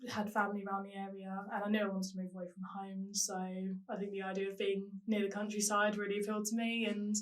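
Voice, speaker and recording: A woman's voice: medium pitch, little pitch movement, quick pace, few pauses, recorded from a distance, low-quality recording. A young woman from South London, a paramedic student chatting casually, often laughing and hesitating. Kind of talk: casual chat.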